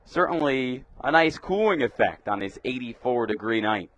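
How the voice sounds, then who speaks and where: A man's voice: mid-range voice, expressive, moderate pace, fairly bright tone, no echo. A young man doing live radio baseball play-by-play commentary, describing the action in short clipped phrases. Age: young adult.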